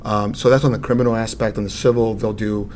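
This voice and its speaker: A mature American man answers interview questions in a fairly low voice. He explains things in a measured way, over a faint rumbling noise. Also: steady tone, darkish tone. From Saint Louis, United States. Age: middle-aged or older. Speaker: lawyer, interview guest.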